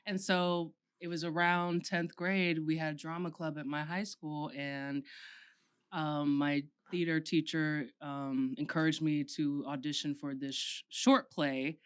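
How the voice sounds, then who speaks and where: A woman's voice: low voice, measured pace, clean tone. A young woman from Florida, a podcast host and actor, chatting casually and telling stories with easy fillers. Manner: relaxed, storytelling, with fillers.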